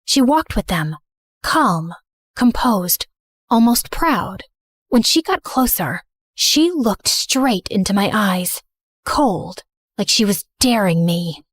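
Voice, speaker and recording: A woman's voice: mid-range voice, very animated, slow pace, no room sound, studio quality. A woman playing a hurt, guilt-ridden teenage girl who narrates her own story in a confessional, dramatic first-person style. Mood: hurt, resolute. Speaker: narrator and main character.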